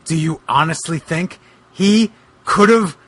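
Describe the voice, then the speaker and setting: A man voicing an earnest, protective boogeyman in a dramatic fan fiction reading, with a husky, gravelly voice and deliberate, melodramatic delivery. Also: fairly high pitch, a bit bright, no room sound. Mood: grave, accusing. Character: the Boogeyman, earnest protective supernatural male avenger.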